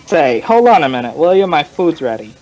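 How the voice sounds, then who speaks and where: An actor playing a folksy, rambling male informant who tells his story in colloquial, drawn-out fashion, in old-time radio crime drama style. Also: on the high side, big pitch swings, darkish tone, poor audio. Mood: chatty.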